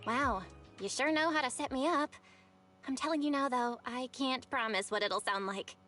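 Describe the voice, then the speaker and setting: High-voiced playful young woman played by an actress. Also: moderate pace, natural voice. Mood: playful, wry. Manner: playful, casual. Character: chipper, teasing young sister in a game. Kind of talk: video game voice acting. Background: game music.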